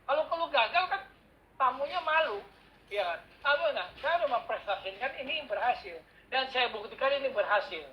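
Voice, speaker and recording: A man giving a casual workshop talk as guest speaker, chatty and animated, telling anecdotes in a slangy way. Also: very high voice, fairly quick, lo-fi sound. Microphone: online call mic.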